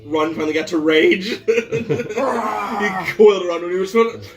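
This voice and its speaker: A man's voice: sits high, unhurried, recorded from a distance. A man playing a tabletop game, chatting casually in a joking, slangy way over ambient music. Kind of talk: casual banter. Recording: loud background music.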